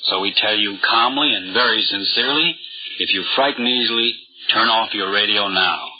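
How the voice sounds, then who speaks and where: Solemn, deliberate horror radio host. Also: male voice, on the low side, husky. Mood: solemn warning. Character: ominous, calm horror show host. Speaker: host and announcer. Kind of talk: 1940s radio horror introduction. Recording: muffled old radio recording with loud music.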